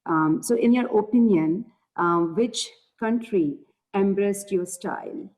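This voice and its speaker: Polite, brisk female moderator. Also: medium pitch, a little mellow, rough recording. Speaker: panel moderator. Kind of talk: moderating a panel discussion.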